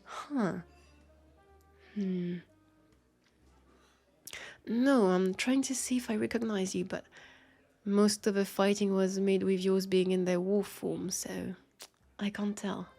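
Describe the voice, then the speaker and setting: A woman with a French accent voicing a wry, centuries-old vampire detective in an intimate audio roleplay, husky and creaky, talking in an unhurried, conversational way. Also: medium pitch, fairly even intonation, dark tone, soft background music. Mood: surprised, excited. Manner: unhurried, conversational, with pauses and sighs.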